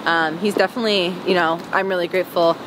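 A woman's voice: lowish voice, fairly even intonation, quick pace. A young woman from Wisconsin, a singer, chatting casually and giggling with lots of "like" and "um" while she walks down a sidewalk in an interview. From Wisconsin, United States. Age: young adult. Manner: chatty and giggly, many "like" and "um" fillers. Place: outdoors on a city sidewalk, walking. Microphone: handheld camera at close range. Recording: street noise behind the voice.

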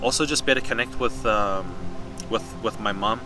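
A young man talking casually and reflectively about himself, in a halting, thoughtful way with slangy phrasing. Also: lowish voice. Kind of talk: reflective personal interview, casual speech. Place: outdoors in a city park. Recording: distant mic outdoors, music underneath.

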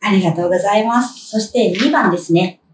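A woman's voice: mid-range voice, quick pace, a little hiss or hum. A young woman hosting an interview, politely reading out questions. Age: young adult. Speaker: host interviewer. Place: small indoor room, seated at a table. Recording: roomy, distant mic.

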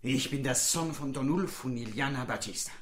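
Casting: actor as proud Mexican stable hand, wronged bastard son, mid-pitched male voice, hot-tempered, audio drama. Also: normal speed, a bit bright, echoey room, close to an everyday voice. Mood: defiant. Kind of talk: German audio drama acting.

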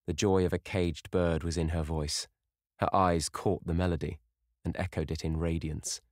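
A man narrating a classic novel in a measured, unhurried way. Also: deep voice, a little mellow, no echo, studio quality. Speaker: audiobook reader. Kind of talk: scripted narration.

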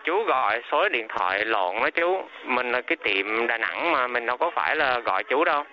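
A man's voice: medium pitch, lively intonation, brisk, lo-fi sound. Patient man answering a restaurant phone. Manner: patient, polite, repeats questions. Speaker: restaurant worker. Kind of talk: phone conversation.

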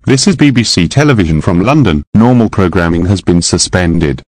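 A television continuity announcer, a man with a deep voice, reads a formal scripted notice. The sound is very dry and close, free of room. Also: expressive, a little fast, darkish tone, no room sound.

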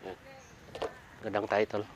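A man's voice: on the low side, monotone, measured pace, background noise. Filipino man chatting outdoors on camera. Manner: chatty, reading out titles with frequent fillers. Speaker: vlogger sorting donated library books. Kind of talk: casual vlog talk mixing English and Tagalog. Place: outdoors beside a building.

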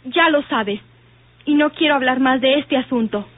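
Firm young Mexican daughter played by an actress, high-pitched, vintage radio serial, phone-like fidelity. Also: female voice, normal speed, very noisy, close to an everyday voice. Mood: firm, pleading. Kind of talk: vintage radio drama acting. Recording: tinny, narrow old radio recording.

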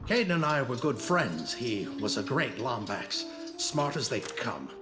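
Lively, dignified older general. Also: male voice, medium pitch, measured pace. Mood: explanatory. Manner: formal, grandiose, articulate. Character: dignified older alien general, proud and learned. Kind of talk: video game cutscene acting. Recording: music behind.

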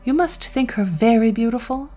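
A woman's voice: on the high side, animated, moderate pace, warm tone, soft background music, voice pushed up. Woman voicing a sardonic, boastful devil. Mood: sardonic, amused. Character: sardonic male devil, boastful, falsely friendly tempter. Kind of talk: dramatized storybook reading.

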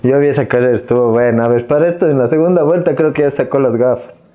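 A man in his thirties with an Ecuadorian Spanish accent, hosting a casual podcast chat with slangy, relaxed questions. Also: medium pitch, fast, highs cut off. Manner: slangy, laid-back questioning. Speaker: podcast host. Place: small cozy studio room. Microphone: lapel mic.

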